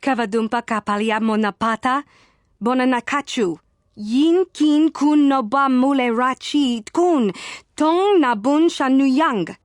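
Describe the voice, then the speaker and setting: Guarded alien-speaking apprentice, highish voice, clipped made up words, dry game recording. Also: female voice, measured pace, dry recording. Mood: agitated. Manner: speaks an invented alien language in clipped phrases. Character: alien-tongued female former apprentice, guarded and defiant. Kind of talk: video game dialogue.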